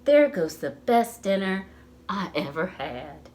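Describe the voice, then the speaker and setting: A woman voicing a kind, generous home cook in a picture book, with a slow, savouring delivery. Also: mid-range voice, sing-song, darkish tone. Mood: sorrowful.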